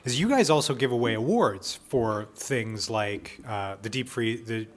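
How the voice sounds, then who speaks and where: Guy, average pitch, casual podcast host asking questions, pretty agreeable. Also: expressive, measured pace, a little hiss or hum. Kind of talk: casual chat, interviewing.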